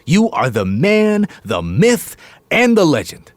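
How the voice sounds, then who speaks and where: An actor playing a brash, pushy talent agent who flatters and cajoles like a salesman in a naturalistic audio drama. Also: a little high, takes it slowly, no echo, heavily acted. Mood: smooth, reassuring. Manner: slick, cocky salesman banter.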